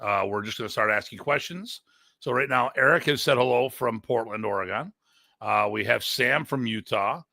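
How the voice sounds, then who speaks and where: A man's voice: medium pitch, normal speed, vocal fry, pushed and bright, no room sound. A man hosting a live online show, chatting casually and interviewing a guest.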